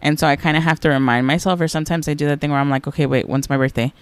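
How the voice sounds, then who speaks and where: A woman's voice: low-pitched, steady tone, very fast, clear, resonant tone, no echo. A woman in her thirties from Los Angeles co-hosting a podcast in an upbeat, chatty way. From Los Angeles, California. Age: 30s. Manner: upbeat, chatty, laughs easily. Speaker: podcast co-host. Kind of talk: casual chat.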